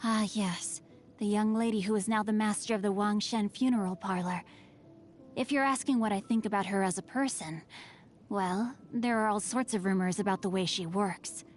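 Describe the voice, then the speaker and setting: A woman voicing a bookish, sly teenage boy martial artist from a video game, speaking in formal, articulate, measured phrases. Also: mid-range voice, soft background music, natural voice. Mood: poised, wry. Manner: formal, articulate, measured, old-fashioned wording. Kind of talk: scripted video game character voice lines.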